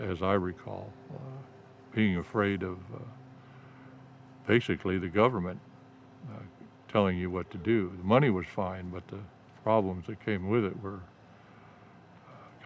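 An older man with a fairly low voice remembers the past for an interviewer. He speaks haltingly, with frequent pauses and fillers, and a faint background hiss sits under his words. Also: steady tone, vocal fry. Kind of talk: recollection in an interview.

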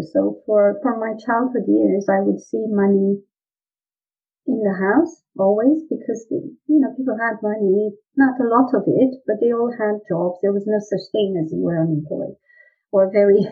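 A woman from Romania, a guest on an interview, explaining sales mindset in an earnest way. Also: mid-range voice. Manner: earnest, explanatory, laughs lightly at times. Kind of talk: conversational interview. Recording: husky voice over a tinny phone-like line.

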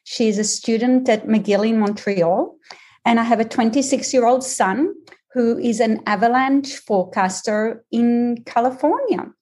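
A woman in her fifties with a mixed Israeli, Australian and American accent, explaining things in a measured way with frequent ums as a podcast guest. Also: a little high, a little mellow. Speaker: career coach guest. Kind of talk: podcast interview.

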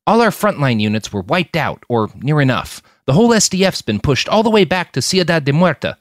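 A man's voice: on the high side, big pitch swings, fairly quick, no room sound, clean studio sound, pitched above the performer's own voice. A man voicing a grim, middle-aged militia officer in an audiobook, curt and mixing in Spanish words.

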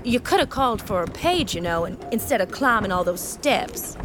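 A woman's voice: mid-range voice, animated, normal speed, music in the background, close to an everyday voice. A woman playing an exasperated, formal officer in an audio drama, articulate and wry with clipped politeness. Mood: flustered politeness.

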